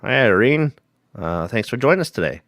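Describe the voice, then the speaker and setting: A man hosting a paranormal live stream, hoarse from a cold, rambling casually and greeting viewers by name. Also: medium pitch, big pitch swings, moderate pace, fairly bright tone, dry recording, studio quality.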